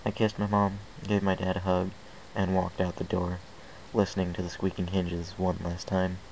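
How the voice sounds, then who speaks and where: A man's voice: deep voice, completely flat delivery, moderate pace, vocal fry, a little mellow, a little hiss or hum, poor audio. A man reading a fan fiction story aloud in the first person, in an earnest, plain way with small restarts.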